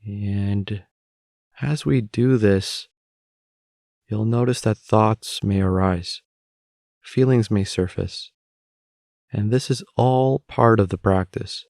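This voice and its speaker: Slow, low-voiced male meditation guide. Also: dry recording, clean studio sound. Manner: calm, unhurried, instructional with long pauses.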